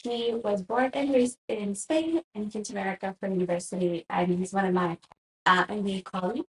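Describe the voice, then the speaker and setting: A woman's voice: mid-range voice, fairly quick, rough recording. A woman hosting a podcast, asking questions in a curious, lightly chatty way. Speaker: podcast host. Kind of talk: casual interview chat.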